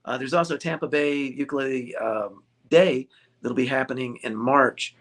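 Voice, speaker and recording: A man's voice: medium pitch, normal speed, fairly bright tone. A man, a ukulele shop owner being interviewed, chatting casually and joking with frequent laughter. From United States. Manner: jokey, laughs often. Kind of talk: casual chat.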